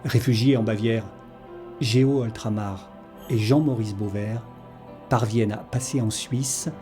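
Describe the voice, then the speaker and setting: A man's voice: fairly low pitch, fairly even intonation, unhurried, dark tone, faint music behind. A man reading a scripted historical documentary narration as its narrator.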